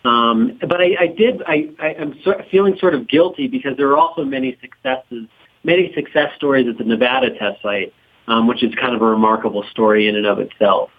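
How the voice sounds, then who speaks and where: A man being interviewed as a documentary producer, talking informally and haltingly as he thinks aloud. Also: mid-range voice, narrow, tinny audio.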